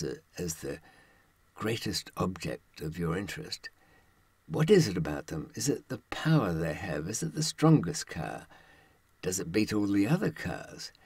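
A man in his seventies giving a reflective monologue, musing haltingly and posing rhetorical questions in a husky voice. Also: fairly low pitch, a little mellow, no echo. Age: 70s. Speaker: presenter.

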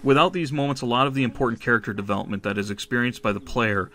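A man reading a scripted video essay as narrator and reviewer, in a measured, analytical manner. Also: mid-range voice, a bit bright.

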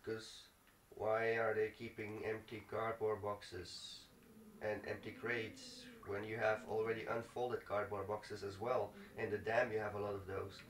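A man's voice: fairly low pitch, flat delivery, unhurried. A man giving casual let's play commentary, muttering to himself and swearing mildly. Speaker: gaming video host. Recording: roomy, distant mic, clearly noisy with clicks.

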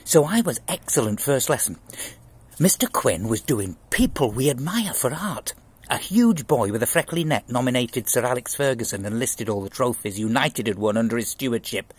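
A man in his sixties with a husky voice, reading a children's novel aloud in an expressive storytelling style, outdoors. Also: medium pitch, normal speed. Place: outdoors in a garden. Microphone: phone at arm's length.

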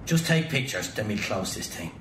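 An Irish man playing a brisk, wry private detective who is in charge, in a radio drama. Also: very animated, fairly bright tone. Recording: rumbling background noise, some room echo.

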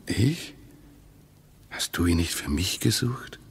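An actor playing a brooding, estranged husband in a radio play, with deliberate dramatic delivery. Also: male voice, low-pitched, sing-song, breathy edge, full character voice. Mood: hushed, dreamy. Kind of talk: German radio play acting.

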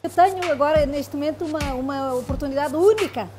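A middle-aged woman speaks as a head chef, proud and repeating words as she gathers her thoughts. Her voice sits high. Clear background music runs under her in a kitchen. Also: fairly quick. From Angola. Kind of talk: interview. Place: restaurant kitchen. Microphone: lapel.

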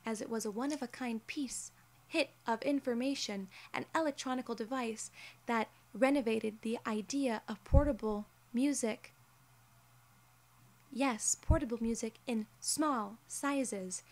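A woman in her twenties performing an ASMR roleplay in a measured, deliberate and formally worded way, close to the mic. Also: a little high. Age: 20s. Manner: measured, deliberate, formal phrasing as an alien gatekeeper. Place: small indoor room, seated in an armchair.

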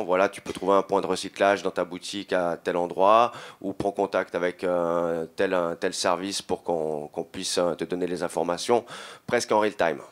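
Low-voiced man, halting panel speaker. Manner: hesitant, halting delivery with frequent self-repetition. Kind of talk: conference panel talk. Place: indoor conference stage. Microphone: handheld microphone held close.

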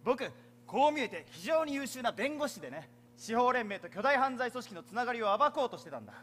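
An actor playing a cocky, daring young undercover lawyer on stage, boyish, self-assured and dramatic. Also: male voice, extremely high pitch, very animated, fairly quick, a bit bright, exaggerated voice. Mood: resolute. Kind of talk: theatrical stage acting. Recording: theatre stage recording with music.

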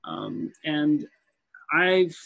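Husky man in his forties on a video call. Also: fairly high pitch, very animated, drawn-out words, a bit bright, no room sound. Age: 40s. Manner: thoughtful, conversational, many fillers. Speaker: guest music professor. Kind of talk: panel discussion on a video call. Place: home room indoors. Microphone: headset on a video call.

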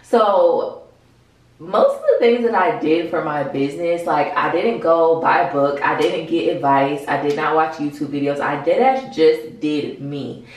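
A woman's voice: sits low, animated, measured pace, a little mellow, a little hiss or hum. Young American woman in a very echoey bathroom. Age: 20s. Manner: informal, slangy, frank, sprinkled with swearing. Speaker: vlogger, small business owner. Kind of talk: casual advice vlog to camera. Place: bathroom, indoors. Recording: very echoey small tiled room.

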